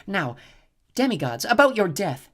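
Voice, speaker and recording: A man's voice: high voice, big pitch swings, moderate pace, pitched above the performer's own voice, full character voice. A man putting on a purring icy snow goddess. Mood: icy gloating. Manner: soft, purring, icy and gloating. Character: cold scheming female snow goddess, purring.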